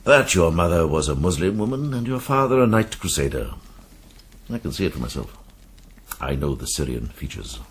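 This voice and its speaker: A worldly old monk with an extremely low, creaky voice. He is a gruff former soldier with a tender streak, reflective and a little halting, in a radio play. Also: sing-song, heavily acted. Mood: curious, plain-spoken. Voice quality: gravelly. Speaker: lead actor in a radio drama. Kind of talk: radio drama acting.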